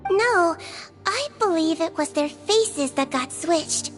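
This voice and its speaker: A woman playing a small, gentle young head nurse who explains things politely and methodically, in anime game style. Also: very high voice, animated, slow, full character voice. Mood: calm, earnest. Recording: music behind the voice.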